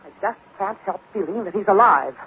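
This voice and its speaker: Anxious scheming woman, breathy, swooping delivery, halting, 1940s radio drama. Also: mid-range voice, expressive. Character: Norma, anxious scheming woman hiding a murder plot. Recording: old radio, narrow telephone-like sound.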